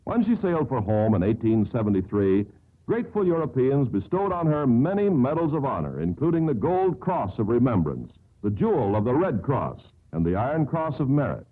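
Very animated American radio announcer, vintage sound. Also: male voice, medium pitch, moderate pace, slightly rough. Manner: earnest, formal delivery. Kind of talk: 1950s scripted radio narration. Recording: thin, phone-like old radio broadcast.

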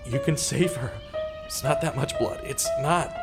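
An actor playing a distraught, self-blaming man who narrates his own story in a halting, repetitive, dramatic way, as in an audio drama. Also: medium pitch, natural voice. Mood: desperate, guilt-ridden. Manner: dramatic, halting, repeats himself. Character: distraught, self-blaming male radio man turned amateur pursuer. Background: music.

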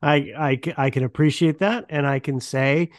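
A man's voice: a little high, normal speed, no echo, studio quality. A man hosting a podcast interview in a casual, chatty way with wry asides.

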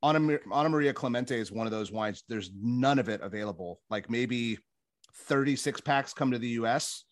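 A man's voice: medium pitch, a little fast, no room sound. Guy chatting w friends, sure of himself, explains stuff, real dry close sound. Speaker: wine professional and cooking competition contestant. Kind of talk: casual group chat.

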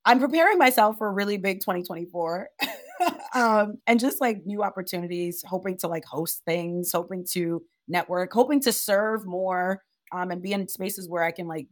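Interview guest: thirties woman, husky and deep, loud and animated, dry studio mic. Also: moderate pace, dry recording. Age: 30s. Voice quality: raspy, deep. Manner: loud, animated storyteller who laughs often. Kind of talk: casual interview.

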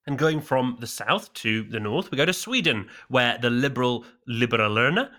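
Man, news host, scripted headlines, wry asides, bright voice, mid pitch. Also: expressive, moderate pace.

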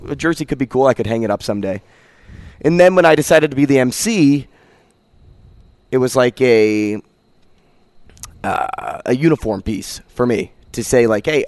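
A man with a slightly hoarse voice, co-hosting a casual sports talk show and telling a story with easy laughs. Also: mid-range voice, dry recording. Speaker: radio co-host. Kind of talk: casual chat.

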